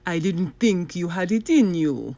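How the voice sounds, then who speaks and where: A woman voicing a sly, smug male villain doctor in a children's storybook, with an oily, drawn-out manner. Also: on the low side, lively intonation, dark tone. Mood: sneering. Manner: oily, drawn-out delivery, sputtering when flustered.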